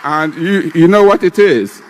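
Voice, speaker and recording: A man's voice: fairly high pitch, a little slow, long reverb tail, some chatter behind, slight background noise, rough recording. A man in his eighties with a Nigerian Igbo accent, giving a ceremonial speech as an elder breaking kola nut. He rambles and repeats himself. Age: 80s.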